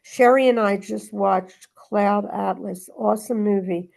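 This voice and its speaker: A woman's voice: medium pitch, fairly even intonation, measured pace, gravelly, darkish tone. A woman talking casually and reading aloud in a spiritual discussion group, in a rambling, emphatic way that circles back on itself.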